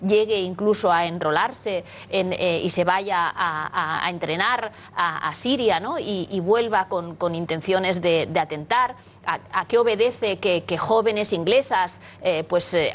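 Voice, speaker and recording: A woman from Catalonia speaking earnestly on a studio panel, restarting her sentences often and ending them with tag questions. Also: medium pitch. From Catalonia, Spain.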